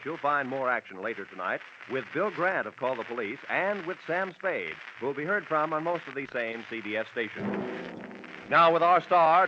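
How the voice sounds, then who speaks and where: Man announcing on old radio. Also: fairly high pitch. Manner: upbeat promotional announcer delivery. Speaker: network announcer. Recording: noisy lo-fi old radio broadcast.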